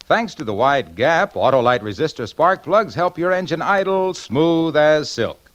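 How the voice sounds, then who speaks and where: Male 1940s commercial announcer. Lively, emphatic salesman patter, pitched above average. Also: measured pace, muffled recording. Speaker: radio announcer and sponsor pitchman.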